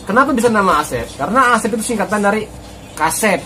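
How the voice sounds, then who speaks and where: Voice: mid-range voice, animated, quick pace, bright, forceful tone, soft background music. A channel host from Bogor with a Sundanese accent, talking in a casual, joking, rambling way and often tagging on little questions. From Bogor, West Java. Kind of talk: casual chatty vlog.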